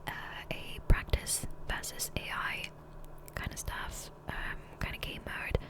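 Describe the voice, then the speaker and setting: A woman whispering softly and breathily in a chatty, rambling ASMR style over gameplay, close to a condenser microphone. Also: unhurried. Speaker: gaming ASMR creator. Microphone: close USB condenser microphone.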